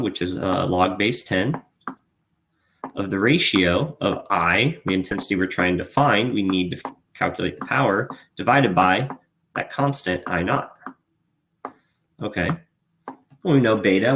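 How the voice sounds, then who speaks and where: Low-voiced man tutoring over background noise. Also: fairly bright tone. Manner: informal, thinking aloud. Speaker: physics tutor. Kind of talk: worked-problem lesson, explaining step by step.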